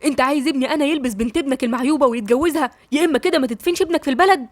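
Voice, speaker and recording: A woman voices a sharp-tongued mother who storms in scornful and shrill. She speaks fast and pitched up, recorded close and dry. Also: no room sound, close to an everyday voice. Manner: shouting, scornful.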